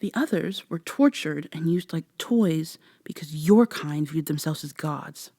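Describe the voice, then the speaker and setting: Slow, hushed, sardonic woman acting a guarded survivor. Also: low-pitched, animated, slightly rough, dry recording, studio quality, heavily acted. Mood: somber, haunted. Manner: sarcastic, wary, hushed delivery slipping into whispers. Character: guarded, sardonic, foul-mouthed human woman among vampires. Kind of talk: ASMR roleplay acting, close and breathy.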